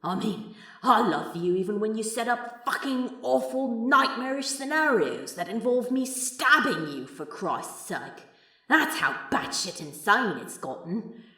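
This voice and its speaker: A woman's voice: a little high, very animated, pushed and bright, pitched above the performer's own voice, exaggerated voice. Woman voicing a tormented lovesick male demon, echoey. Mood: anguished, exasperated. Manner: sweary, impassioned, halting confession. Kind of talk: fan fiction audiobook monologue. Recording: echoey, distant from the mic.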